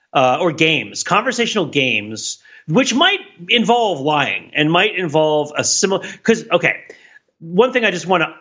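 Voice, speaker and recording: A man with a highish, forceful voice co-hosts a casual podcast discussion. He is animated and emphatic as he analyses each point. The recording is a little dull and lo-fi. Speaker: podcast co-host. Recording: slightly dull lo-fi microphone sound.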